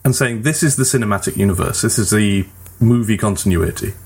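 A man's voice: on the low side, a little fast, a little mellow, husky. A man hosting a casual group chat show, talking in an informal, conversational way. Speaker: podcast host. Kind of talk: casual group chat about films and games.

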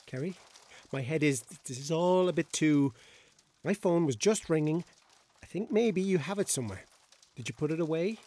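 A woman playing a blunt, sweary, hungover young character in a radio drama, halting and exasperated as nerves take over. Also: low-pitched, animated, dark tone. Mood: rattled, pleading. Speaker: actor in an audio drama. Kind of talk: naturalistic radio drama acting.

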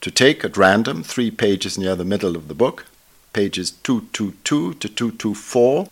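Man, low voice. Formal, measured, scholarly delivery of a written book review. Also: sing-song, breathy edge. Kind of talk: scripted book review read aloud.

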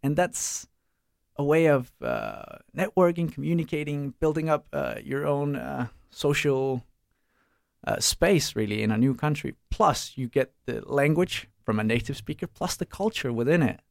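A young man, answering interview questions casually and pausing to search for words. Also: a little high, big pitch swings, gravelly, no echo, studio quality. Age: young adult. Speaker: startup co-founder and student.

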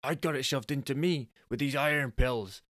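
A man's voice: mid-range voice, normal speed, a bit bright, dry recording, clean studio sound, natural voice. A man playing an earnest, deluded would-be superhero boyfriend who makes solemn, self-important declarations.

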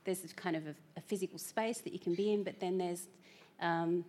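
A woman's voice: lowish voice, fairly even intonation, a little fast, darkish tone. A woman moderating a panel, reflective and hesitant, with plenty of "you know" and "um". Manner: reflective, hesitant, with many fillers. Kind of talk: panel discussion.

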